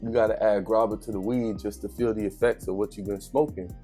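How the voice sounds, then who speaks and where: A man's voice: on the low side, steady tone, a little fast, warm tone, soft background music. A man in his thirties giving an unscripted motivational monologue, speaking emphatically in street slang, addressing the listener directly and repeating phrases to drive them home. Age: 30s. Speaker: vlogger giving a motivational talk.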